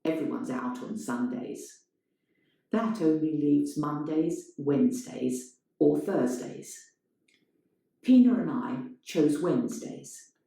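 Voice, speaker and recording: A woman's voice: sits low, gravelly, dark tone, exaggerated voice. A woman voicing a wry, confiding adulterous man in a stage monologue read as a story. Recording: very echoey room.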